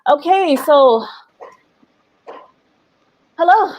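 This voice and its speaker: A woman's voice: high voice, lively intonation, extremely slow, a little mellow, slight background noise, low-quality recording. A woman hosting a casual livestream vlog, chatting to viewers and laughing often. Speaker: vlog host running a livestream. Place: living in Ghana.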